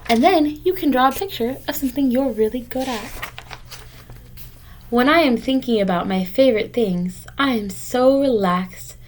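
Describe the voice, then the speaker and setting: High-pitched woman teacher reading to children. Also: normal speed, slight background noise. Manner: simple, encouraging explanations addressed directly to children. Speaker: teacher reading a picture book aloud to children. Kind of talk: read-aloud lesson for young children, with explanatory asides.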